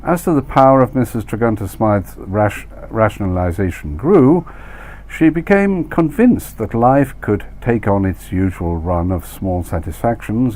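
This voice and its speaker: A man reading his own short story as scripted literary narration, measured and wry, with dramatic emphasis. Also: fairly low pitch, a little mellow.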